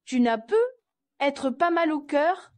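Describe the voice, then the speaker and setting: A doting middle-aged mother with a high voice. She pleads protectively on her child's behalf. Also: slow. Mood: pleading.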